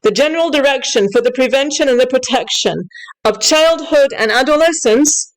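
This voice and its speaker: A woman's voice: high-pitched, moderate pace, rough recording. A woman giving unscripted news commentary in an upset, insistent, corrective way, sometimes switching between two languages as she reads aloud. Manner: switches between English and French while reading aloud. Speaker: online commentator.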